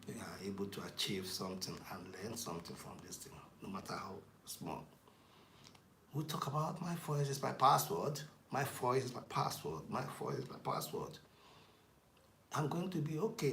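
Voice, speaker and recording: A man's voice: mid-range voice, fairly bright tone. A man preaching a sermon, chanting declarations in rhythmic, emphatic repetition. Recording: echoey room with faint noise.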